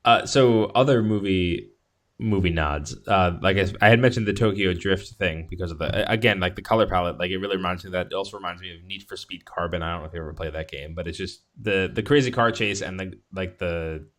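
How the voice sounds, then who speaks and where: A man's voice: deep voice, fast, fairly bright tone. A man co-hosting a podcast, talking in a casual, rambling and enthusiastic way as he reviews a film. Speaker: podcast host. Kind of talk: casual movie review chat.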